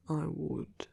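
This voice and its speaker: A man playing a soft-hearted villain wooing his heroic enemy in an intimate ASMR roleplay, speaking slowly and deliberately in a breathy hush that often slips into whispers. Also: no room sound. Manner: slow, deliberate, hushed, often slipping into whispers. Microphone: close, dry microphone.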